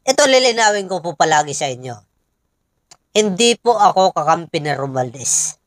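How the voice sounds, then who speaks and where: A woman's voice: lowish voice, very animated, normal speed, no echo, studio quality. A woman with a Filipino accent giving casual opinion commentary, conversational and full of rhetorical questions to viewers. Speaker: political commentator and host.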